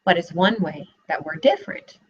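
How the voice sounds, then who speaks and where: A young woman teacher giving a read-aloud lesson for children, asking questions in an expressive way, recorded on a laptop webcam in a living room. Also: lowish voice, moderate pace, husky. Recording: dull lo-fi webcam audio.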